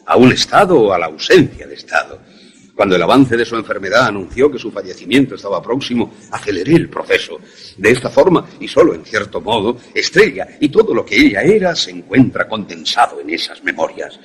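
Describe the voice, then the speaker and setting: A man playing an obsessed, grieving widowed professor in a suspense radio drama, with a husky voice and formal, measured phrasing. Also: mid-range voice, big pitch swings. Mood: solemn confession.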